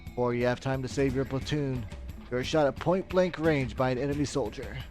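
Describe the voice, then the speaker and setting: A man's voice: mid-range voice, moderate pace, a little mellow, soft background music, natural voice. A man reading out melodramatic video game ending text as a storyteller. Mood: grave storytelling.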